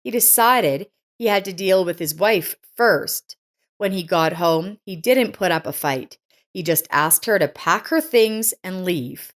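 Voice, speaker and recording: A woman's voice: on the low side, expressive, unhurried. Woman narrating a scripted story.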